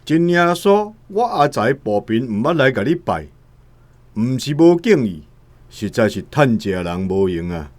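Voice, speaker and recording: A man's voice: medium pitch, big pitch swings, unhurried, darkish tone, no room sound. A man with a Taiwanese accent playing an earnest, hard-up gambler in a radio drama, speaking emphatically. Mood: pleading.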